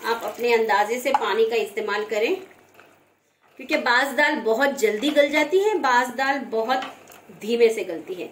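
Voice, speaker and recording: A woman talking through a home cooking recipe step by step, in a gentle, conversational way. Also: a little high, moderate pace. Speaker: home cook. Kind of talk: cooking demonstration voice-over. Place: home kitchen. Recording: roomy kitchen, frying noise. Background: sizzling frying pan.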